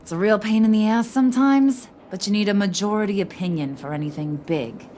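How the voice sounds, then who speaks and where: A woman's voice: mid-range voice, a little fast, warm tone, slight background noise, lightly acted. A woman playing a brash, kooky, flirtatious crime boss in a video game, with a slangy, teasing New delivery. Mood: brash, playful. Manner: slangy, playful, teasing, wise-cracking.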